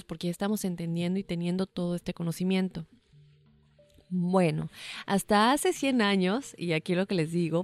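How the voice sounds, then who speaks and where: A woman's voice: fairly low pitch, a little mellow, dry recording. A woman with a Mexican accent co-hosting a podcast, explaining science in a chatty way, laughing easily and restarting words. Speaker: podcast co-host. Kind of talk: casual explainer chat.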